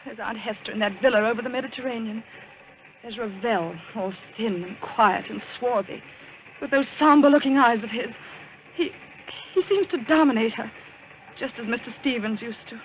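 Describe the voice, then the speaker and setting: An actress playing an anxious young niece full of foreboding in an old-time radio drama, earnest and dramatic, on a noisy old recording. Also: female voice, on the high side, normal speed, natural voice. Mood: troubled.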